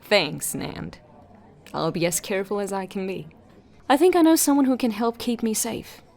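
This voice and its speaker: A woman's voice: mid-range voice, sing-song, normal speed, creaky, darkish tone. A woman playing a determined, dry-witted police detective in a sci-fi audio drama, with terse, resolute delivery.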